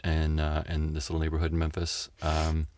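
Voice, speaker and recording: An American man telling stories casually as an interview guest, with a wry, deadpan way of joking. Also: a bass voice, a little fast, no echo. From Tennessee and Mississippi, United States. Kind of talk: casual conversational storytelling.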